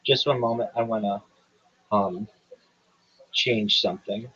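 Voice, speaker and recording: Guy hosting a livestream, kinda husky voice, measured formal delivery, dull lo-fi sound. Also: on the low side, fairly even intonation, bright, forceful tone. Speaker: livestream host. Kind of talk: reading a prepared statement, then casual chat.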